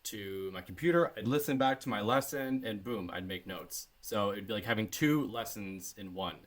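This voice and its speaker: A Canadian man, a bassist, chatting as a podcast guest in a relaxed, rambling way. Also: moderate pace. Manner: relaxed, rambling, with many fillers and restarts. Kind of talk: casual interview chat.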